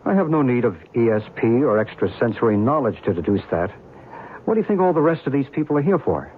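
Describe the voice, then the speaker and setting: Guy acting a mysterious all-knowing american in an old radio play, assured, lively melody, tinny recording. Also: mid-range voice, quick pace, a little hiss or hum, natural voice. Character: calm, knowing, enigmatic American UFO believer and narrator. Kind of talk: old-time radio drama acting and narration.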